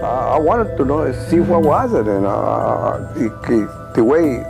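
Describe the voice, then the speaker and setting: An older man from Texas recalls events informally as an eyewitness, halting and repeating himself as he tells it. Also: mid-range voice, vocal fry, music in the background. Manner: halting, repetitive, animated storytelling with "man" and "you know". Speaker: eyewitness interviewee. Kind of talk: informal spoken recollection.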